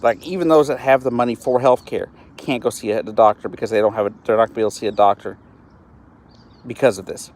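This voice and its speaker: A man's voice: medium pitch, fairly even intonation, fast, darkish tone. A man, hosting a solo live stream and talking earnestly and with frustration, often stopping to restart his words. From East Texas, US. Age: 30s. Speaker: host of a live-stream show.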